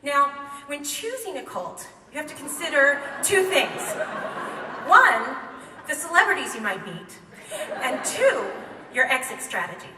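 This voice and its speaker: A woman telling a comic true story live on stage, wry and quick to laugh, recorded in a hall by a microphone set back from her. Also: sits high, very animated, a crowd in the background. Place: theater stage before an audience. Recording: echoey hall, mic from far off.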